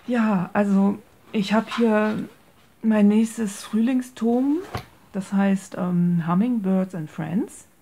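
A woman's voice: mid-range voice, extremely slow, long pauses, dark tone, a little hiss or hum. A woman giving a casual, hesitant show-and-tell, searching for words and mixing English craft terms into her speech. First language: German. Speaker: craft video maker presenting a handmade journal. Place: indoors at a tabletop.

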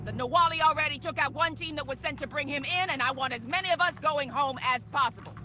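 An actress playing a stern, sarcastic military squad leader in a video game, brusque and commanding. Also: female voice, high-pitched, fast talker, background noise, close to an everyday voice. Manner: brusque, dry sarcasm, barking orders. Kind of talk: video game cutscene acting.

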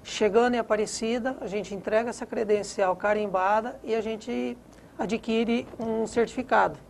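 A man's voice: sits high, fairly even intonation, normal speed, creaky, a little hiss or hum. A man in his fifties with a Brazilian accent, informally explaining things as a studio guest on a lapel mic. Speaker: guest cyclist.

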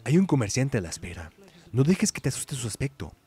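A man voicing a wise talking sword that guides a game hero in a measured, reassuring manner. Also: medium pitch, sing-song, warm tone, lightly acted.

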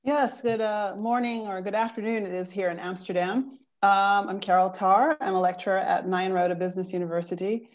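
Animated woman lecturer on a video call. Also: medium pitch, normal speed, hardly any pauses. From Chicago, USA. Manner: animated, talks with many fillers. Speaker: business school lecturer. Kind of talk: panel introduction on video call. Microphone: earphone mic on video call.

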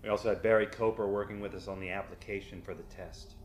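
Quick-talking middle-aged man, echoey room. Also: on the low side, fairly even intonation, slight background noise. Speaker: creature effects artist. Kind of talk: explaining past work in an interview. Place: indoor room, seated interview. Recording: echoey room, faint music.

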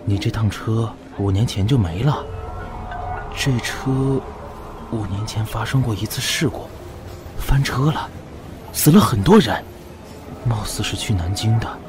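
A man's voice: fairly low pitch, unhurried, long pauses, music in the background, close to an everyday voice. An actor playing a brusque, matter-of-fact railway station man in an audio drama. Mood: explaining, grave. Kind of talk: Chinese audio drama acting.

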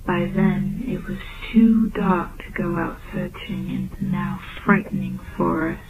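Slow woman storyteller on muffled tape. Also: fairly low pitch, vocal fry, music in the background. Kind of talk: scripted narration of a dark children's tale. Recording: muffled, noisy old tape, phone-like band.

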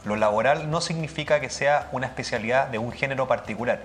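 A man giving measured, formal scripted narration for a documentary series. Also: mid-range voice, a bit bright, faint music behind.

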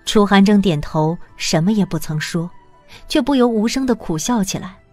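A woman's voice: medium pitch, animated, moderate pace, darkish tone, dry recording, soft background music. Woman narrating a drama, dry sound. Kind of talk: scripted story narration.

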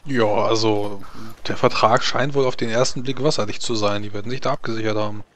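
A man's voice: fairly low pitch, fairly even intonation, brisk, slight background noise. A man improvising scene narration as the game master of a tabletop game. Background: faint music.

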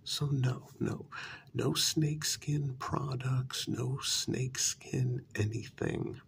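A man doing a soft-spoken ASMR role-play as a smooth, flattering talent agent, with a breathy, gravelly half-whisper, pausing often and repeating words soothingly. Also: medium pitch, a little hiss or hum.

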